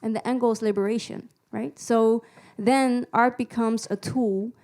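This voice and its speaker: A woman's voice: fairly high pitch, steady tone, moderate pace, warm tone. A woman in her thirties from the Netherlands, a musician and activist telling her own story on a panel into a handheld mic. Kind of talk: panel talk, personal account. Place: small screening room with seated panel, indoors. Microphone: handheld microphone held close.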